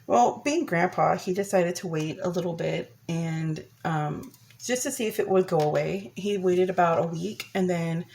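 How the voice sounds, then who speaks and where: A woman's voice: fairly low pitch, moderate pace, creaky, darkish tone, a little hiss or hum. A young woman vlogger giving a chatty personal update, a bit congested from a cold, with many ums and restarts.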